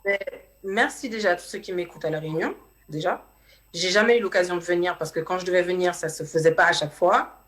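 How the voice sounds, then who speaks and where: A woman's voice: on the low side, fast talker, a bit bright. A woman from Martinique with a Martinican accent, chatting casually as a guest singer in a radio studio interview.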